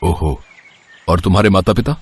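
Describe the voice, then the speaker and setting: A man voicing a wealthy, trusting factory owner, measured and reassuring, in a moral story. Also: deep voice, slight background noise, natural voice. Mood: questioning, firm. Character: kindly, trusting wealthy male factory owner, calm boss. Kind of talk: Hindi moral story voice acting.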